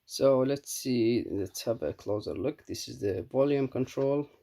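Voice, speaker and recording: A man giving a casual, unscripted hands-on product review in a halting, matter-of-fact way. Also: medium pitch, vocal fry, dark tone.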